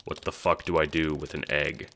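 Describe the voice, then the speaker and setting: A man playing a sardonic, foul-mouthed teenage boy in an audio drama, with a deadpan, irreverent manner. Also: extremely low pitch, normal speed, vocal fry, noisy background.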